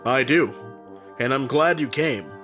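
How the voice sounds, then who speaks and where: A man's voice: medium pitch, lively intonation, measured pace, bright, forceful tone. Man acting a blunt commanding navy captain. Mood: earnest, frustrated. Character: decisive, plain-spoken wartime navy captain, man. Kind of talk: audio drama acting. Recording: music behind the voice.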